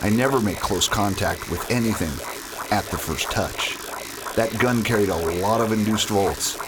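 An actor playing a cautious, analytical scientist on a starship in a radio drama, explaining things in technical terms. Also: male voice, on the low side, normal speed, natural voice. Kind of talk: space opera radio drama acting. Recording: very loud engine noise behind.

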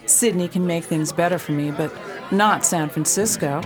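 A woman's voice: lowish voice, normal speed, a crowd in the background, close to an everyday voice. An actress playing a brash young woman who mocks and defies a preacher in a radio drama. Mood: coaxing. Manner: sarcastic, defiant.

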